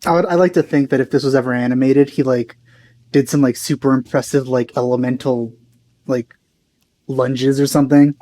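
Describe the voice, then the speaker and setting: Mellow, wry male gameplay commentator. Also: medium pitch, steady tone, moderate pace. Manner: wry, teasing remarks. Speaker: let's play host.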